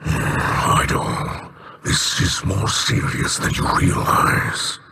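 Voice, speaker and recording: A man voicing a grave, weary alien leader and stern father in a video game, in a solemn, breathy growl. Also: drawn-out words, bright, forceful tone. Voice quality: very husky, rasping, breathy growl. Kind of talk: scripted game voice acting.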